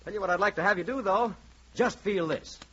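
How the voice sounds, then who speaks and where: A man acting a chatty, pushy barber in a 1940s radio comedy, wheedling with smooth salesman patter. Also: sits high, fairly quick, a bit bright. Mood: coaxing, insistent. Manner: wheedling, smooth-talking, insistent. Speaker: comic actor in a radio skit. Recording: muffled, noisy 1940s radio recording with clicks.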